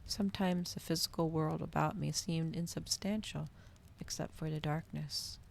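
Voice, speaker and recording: A woman reading a novel aloud in a soft, cozy ASMR style, at times slipping toward a whisper. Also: deep voice, steady tone, moderate pace, creaky, a little hiss or hum.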